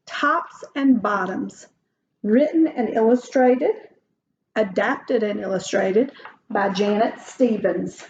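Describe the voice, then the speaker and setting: A woman reading a children's story aloud like a teacher, with deliberate, expressive phrasing. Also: mid-range voice, slight background noise. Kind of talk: slow storybook read-aloud. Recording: dull lo-fi recording.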